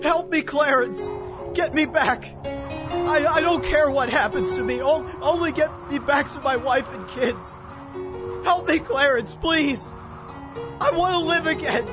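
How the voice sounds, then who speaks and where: A high-voiced, distraught family man acted by a man stammers and pleads in old Hollywood drama style. The sound is dull and lo-fi, with prominent music behind him. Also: lively intonation, moderate pace, lightly acted. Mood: anguished. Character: distraught, desperate everyman husband and father. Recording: dull lo-fi audio with loud music.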